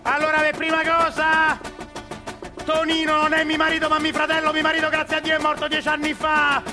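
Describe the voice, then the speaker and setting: A man voicing an indignant, haughty older widow in a shrill, dismissive falsetto. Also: monotone, a little fast, over music. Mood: scornful.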